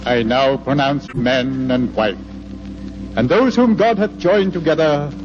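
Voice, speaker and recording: A man's voice: medium pitch, measured pace, close to an everyday voice. An actor playing a solemn officiating clergyman in an old radio drama, speaking in a ceremonial, declamatory way. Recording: dull vintage radio, loud organ music.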